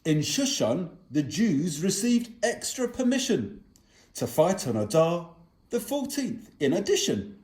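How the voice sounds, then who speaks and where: A man narrating a rhymed children's puppet story in a theatrical, deliberate manner. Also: on the high side, sing-song, darkish tone. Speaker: puppet show narrator and performer.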